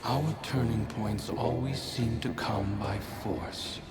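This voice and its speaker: A man's voice: lowish voice, steady tone, slow, over loud music. An actor playing a sly, cynical male phantom in a video game, needling his listener in a mocking, goading way.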